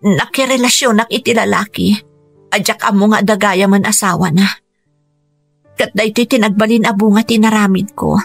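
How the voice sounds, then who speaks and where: An earnest Ilocano woman in a family radio drama. Her voice is husky and medium in pitch, with faint music underneath. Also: moderate pace. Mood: regretful, plaintive. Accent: Filipino, Ilocano.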